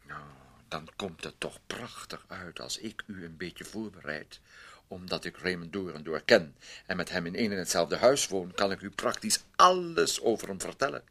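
An actor in a radio play voicing an ingratiating, meddling gentleman neighbour who wheedles and repeats himself with fussy politeness. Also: low-pitched, normal speed, vocal fry, full character voice. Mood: smooth, insinuating.

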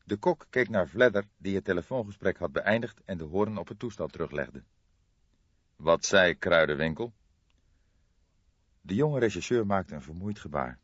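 A man's voice: sits low, animated, fairly quick, few pauses, breathy edge, dry recording. A man reading a crime novel aloud as scripted audiobook narration. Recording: dull lo-fi recording.

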